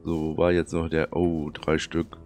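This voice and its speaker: A man with a cold and a hoarse, thick voice, casually commenting on his gameplay and muttering to himself as he plays. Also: extremely low pitch, monotone, measured pace, a little mellow, faint music behind. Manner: chatty, muttering to himself while playing. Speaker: let's play commentator.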